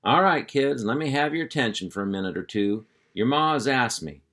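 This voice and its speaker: A man's voice: mid-range voice, expressive, a little fast, fairly bright tone, pitched above the performer's own voice. A man playing a boastful cow dog. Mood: hearty. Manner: folksy drawl, hearty and lecturing. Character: boastful male ranch cow dog, folksy.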